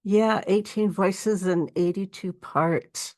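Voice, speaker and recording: A woman's voice: mid-range voice, fairly even intonation, takes it slowly, darkish tone. A woman hosting a podcast, casually chatting as she interviews a guest. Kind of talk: casual chat.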